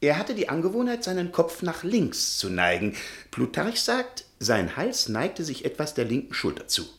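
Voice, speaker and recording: An actor in a radio play playing a shy, pedantic history professor, precise and hesitant but easily indignant. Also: male voice, fairly high pitch, huge pitch swings, normal speed, fairly bright tone, full character voice. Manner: precise, hesitant, flaring into agitation. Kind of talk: German radio drama acting.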